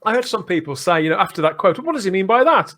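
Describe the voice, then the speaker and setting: Chatty British man with a higher-pitched voice. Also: big pitch swings, fast, fairly bright tone. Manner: chatty, repeats phrases as he builds a point. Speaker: podcast host. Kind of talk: casual fan podcast discussion.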